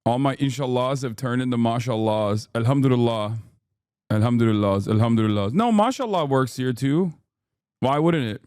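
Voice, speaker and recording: A man with a Texan accent hosting a livestream, riffing in a casual, excitable, profane way and often repeating himself. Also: medium pitch, normal speed, smooth, no room sound, clean studio sound. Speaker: livestream host.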